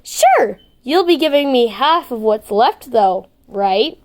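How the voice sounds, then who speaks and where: A woman voicing a soft, polite boy with a sly streak in a story reading, gentle and coaxing. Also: fairly high pitch, very animated, takes it slowly.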